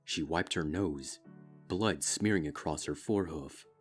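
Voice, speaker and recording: A man reading a dark fanfiction story aloud in a slow, grave, steady narrating style. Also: very deep voice, soft background music. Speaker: fanfiction reader.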